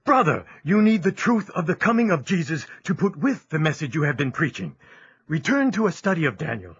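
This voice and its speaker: Urgent husky preacher, bright pitch, radio-play feel. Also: male voice, very animated, a little fast, full character voice. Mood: persuasive. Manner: urgent, persuasive. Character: zealous male traveling preacher. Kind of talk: radio drama acting. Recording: dull lo-fi recording.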